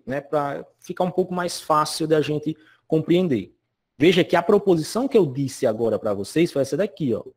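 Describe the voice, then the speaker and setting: Lively, chatty man teaching a lesson. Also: on the high side, husky. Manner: chatty, constantly checks in with "né?" and "certo?". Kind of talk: recorded lesson explaining slides.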